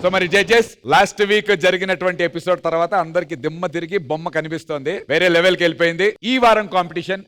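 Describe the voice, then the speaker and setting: A man's voice: high-pitched, fairly even intonation, fast, few pauses, fairly bright tone, a little hiss or hum. A man hosting a dance reality show, introducing judges and contestants with showy energy, mixing English and Telugu. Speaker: host. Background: show music.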